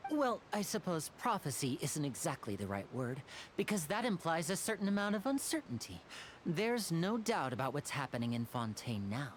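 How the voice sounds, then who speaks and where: A woman voicing a courteous, playful, smooth-talking young man in a video game, with polite, measured phrasing. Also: deep voice, a little hiss or hum. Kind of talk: scripted video game dialogue. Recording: faint music behind.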